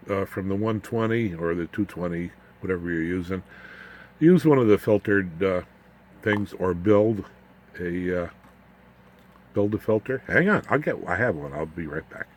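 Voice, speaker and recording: Low-voiced man in a casual tech demo. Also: darkish tone. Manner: folksy, rambling, jokey asides. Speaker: amateur radio electronics video host. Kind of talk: casual off-the-cuff technical demonstration.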